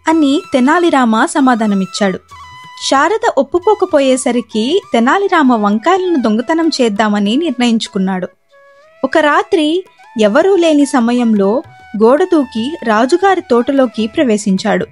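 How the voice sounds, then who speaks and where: A woman's voice: sits high, lively intonation, a little slow, darkish tone, faint music behind. A woman narrating a scripted moral story as a storyteller.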